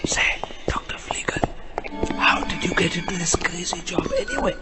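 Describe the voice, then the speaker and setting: An actor playing a nervous, reluctant cab driver in a horror-comedy radio drama, fretting in a jittery, repetitive way. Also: male voice, normal speed, whispered, over loud music, close to an everyday voice. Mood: hushed, curious. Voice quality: high-pitched. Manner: jittery, repetitive, comically fearful. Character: nervous, reluctant male cab driver dragged into vampire hunting.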